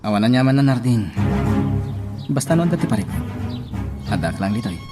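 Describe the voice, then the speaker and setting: Loyal Ilocano friend played by a man under loud music. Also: medium pitch, big pitch swings, normal speed, lightly acted. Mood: supportive. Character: loyal, concerned male friend of a policeman. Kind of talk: Ilocano radio drama acting.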